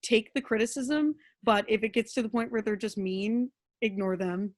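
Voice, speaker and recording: A woman's voice: on the high side, steady tone, normal speed. A young woman playwright talking casually and candidly about her writing. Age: young adult. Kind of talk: casual, candid feedback talk.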